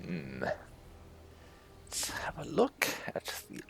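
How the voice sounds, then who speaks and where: Low creaky guy doing lets play commentary, dry muttering, pitch goes all over. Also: huge pitch swings, slow pace, whispered. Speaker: gaming channel host. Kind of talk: casual gameplay commentary.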